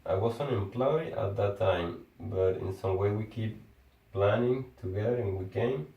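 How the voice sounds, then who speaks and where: Venezuelan guy, kinda low voice, halting english w lots of restarts, sounds far from the mic in an echoey room. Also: dark tone. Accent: Venezuelan Spanish. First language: Spanish. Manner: halting, restarts words, searches for English phrasing. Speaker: engineer. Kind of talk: casual conversation.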